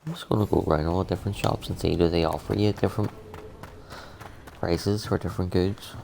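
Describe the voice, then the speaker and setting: Low gravelly guy moaning and swearing at his game, faint noise behind him. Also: fairly even intonation, a little fast, darkish tone. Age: middle-aged. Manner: grumbling, sweary. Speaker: gaming streamer. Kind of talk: casual gameplay commentary.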